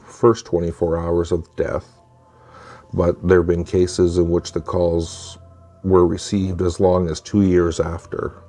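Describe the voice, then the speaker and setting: A man with a very low voice narrates creepy tales from a script. His tone is dark and soft-edged, and he delivers each line in a measured, deliberate way, as a storyteller would. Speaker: narrator of true scary stories.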